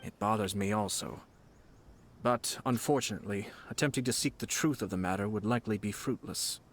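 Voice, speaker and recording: A man's voice: fairly low pitch, close to an everyday voice. Man playing a poised, formal young nobleman. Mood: composed. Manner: formal, measured diction. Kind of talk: game voice acting.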